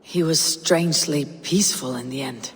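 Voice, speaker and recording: An actor playing a stoic, compassionate Viking warrior in a video game, measured, sombre and earnest. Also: male voice, on the high side, fairly bright tone, breathy edge. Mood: reflective. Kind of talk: dramatic video game acting.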